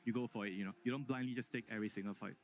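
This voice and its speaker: A man's voice: on the low side, fairly even intonation, racing. A man giving casual strategic opinions as an analyst on an esports panel.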